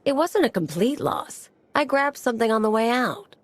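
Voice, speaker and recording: An actress playing a brash, scornful mercenary operative in a video game, blunt and sardonic. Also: female voice, on the high side, very animated, moderate pace. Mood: defensive, brisk.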